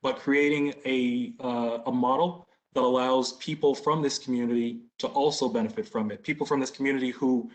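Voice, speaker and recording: American man in his thirties, medium pitch. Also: fairly even intonation, moderate pace, hardly any pauses. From Boston, United States. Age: 30s. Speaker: license applicant, business owner. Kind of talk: answering questions at a community meeting.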